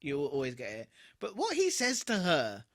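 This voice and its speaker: A man co-hosting a podcast in casual, expressive chat, reacting freely and swearing easily. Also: on the high side, normal speed, no echo, studio quality. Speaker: podcast co-host.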